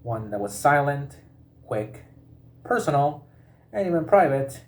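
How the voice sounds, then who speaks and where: Man reading informational text aloud, haltingly. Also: on the high side, very animated. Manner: halting delivery, often breaking off mid-word and repeating it.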